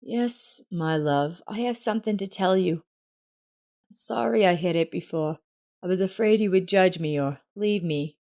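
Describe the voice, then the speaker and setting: A woman's voice: on the low side, measured pace, warm tone. A woman voicing a remorseful, anxious husband in a narrated family drama story. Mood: nervous. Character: remorseful, anxious husband confessing to his wife.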